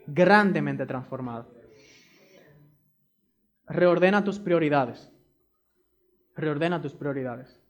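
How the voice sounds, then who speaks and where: Emphatic high-voiced preacher. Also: male voice. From Dominican Republic. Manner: emphatic, repeats key phrases; addresses the congregation directly. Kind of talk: sermon.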